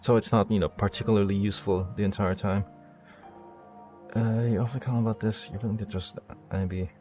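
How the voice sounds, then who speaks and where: Man, gaming streamer, lowish voice, halting and rambling, casual commentary, faint game music behind. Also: steady tone, darkish tone. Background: game music and dialogue.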